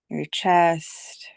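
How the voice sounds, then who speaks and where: A woman leading a guided visualization meditation as a teacher, speaking soothingly in short phrases with long, unhurried pauses. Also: on the low side, gravelly, no room sound.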